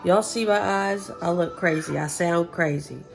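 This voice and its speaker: Congested, gravelly Southern woman. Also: on the low side, a little slow, over music. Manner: blunt, swears casually, dry humor. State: congested, nasal from sinus trouble. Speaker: homesteading vlogger. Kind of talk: casual chat to camera. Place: home kitchen.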